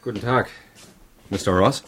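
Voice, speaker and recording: An actor playing a methodical prosecutor's investigator, formal and measured in his questioning, in a radio crime drama. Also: lowish voice, noisy background, close to an everyday voice. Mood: formal, probing.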